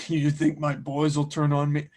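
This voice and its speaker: Man doing a loud, swaggering pirate bounty hunter. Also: fairly high pitch, monotone, unhurried, lightly acted. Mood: boastful. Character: boastful, menacing male pirate bounty hunter. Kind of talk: fan audio drama table read.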